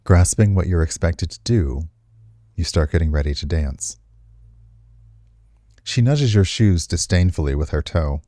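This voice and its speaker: A man's voice: low voice, lively intonation, a little slow, pauses often, dark tone, no room sound, studio quality. A man reading visual novel text aloud expressively as a game streamer, slipping into casual commentary.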